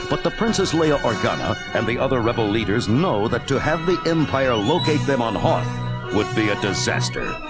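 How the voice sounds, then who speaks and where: Actor as solemn space adventure narrator over loud music. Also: male voice, medium pitch, close to an everyday voice. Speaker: story narrator. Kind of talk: dramatic scripted narration, 1980s story record.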